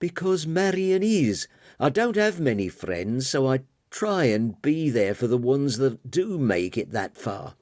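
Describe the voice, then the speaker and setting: A man voicing a moody, tired, snappish young girl in a breathy, childlike manner for an audiobook. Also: medium pitch, big pitch swings, a little slow, a little mellow, no room sound, studio quality, pitched above the performer's own voice.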